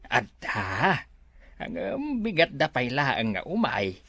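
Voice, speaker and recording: A meek, halting workman in a radio drama. He talks slowly, with pitch that swoops wildly, in a dry recording. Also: sing-song, sharp tone, dry recording, heavily acted. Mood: deferential, hesitant. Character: hesitant, deferential male resort worker. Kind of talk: Ilocano radio drama acting.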